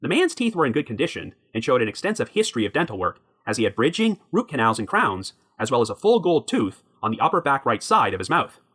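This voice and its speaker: A man hosting a podcast, narrating a true crime story from a prepared script in a measured way. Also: medium pitch, big pitch swings, a bit bright, slightly rough. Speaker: podcast host.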